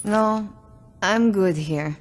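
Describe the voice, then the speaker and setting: A woman's voice: mid-range voice, extremely slow. A woman acting a tough, foul-mouthed gang boss in a video game, blunt, sardonic and commanding. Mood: wry, weary.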